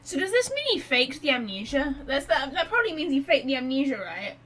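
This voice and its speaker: High-pitched woman casually reacting to a game. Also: very animated, fairly quick, fairly bright tone. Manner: chatty, reactive, thinking aloud. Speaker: gamer, streamer. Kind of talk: casual playthrough commentary. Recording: faint background noise.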